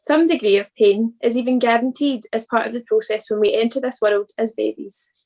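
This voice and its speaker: Woman, slightly high pitch, scripted church devotional, earnest, deliberate phrasing. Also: a little mellow, low-quality recording.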